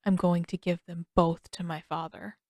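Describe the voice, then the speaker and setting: A woman's voice: fairly low pitch, level tone, normal speed, natural voice. A woman voicing a stern, brooding teenage prince who speaks formally and commands, in an audiobook. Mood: stern, determined. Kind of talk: audiobook character voice.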